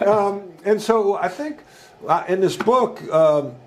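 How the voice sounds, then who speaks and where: A man in his sixties, a former basketball coach and author, telling leadership stories in a loud, emphatic way as a podcast guest. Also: high-pitched, slow, background noise. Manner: loud, emphatic, animated storyteller. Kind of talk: conversational storytelling interview.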